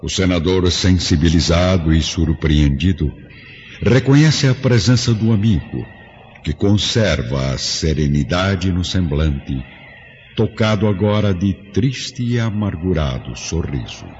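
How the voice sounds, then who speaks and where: A man's voice: sits low, animated, a little slow, a little mellow. A man with a Brazilian accent narrating a radio drama from a script in a dramatic style. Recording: dull lo-fi radio drama, music behind, faint noise.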